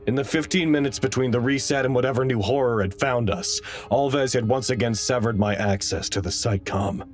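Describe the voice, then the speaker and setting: A man acting as an anxious, earnest researcher in an audio drama, giving dramatic and deliberate log reports. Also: mid-range voice, soft background music, close to an everyday voice. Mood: grave, uneasy. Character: anxious, earnest male facility researcher narrating crisis logs.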